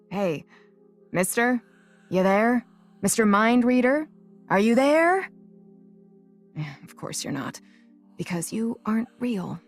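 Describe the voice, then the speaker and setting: A woman's voice: on the high side, lively intonation, slow pace, pauses often, soft background music. An actress playing a teenage girl who has just lost her sight, stubborn and sarcastic. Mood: wry. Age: teens. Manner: sarcastic, restless. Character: teen girl newly blinded, stubborn.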